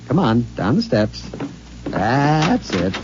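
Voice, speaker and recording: An actor playing a meek, apologetic husband who talks briskly and stammers when cornered, in 1950s radio drama style. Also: male voice, medium pitch, very animated, over music. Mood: brisk, placating. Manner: brisk and placating, breaking into stammering panic. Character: meek, apologetic middle-aged husband, easily flustered. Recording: dull old radio broadcast with hiss and long reverb.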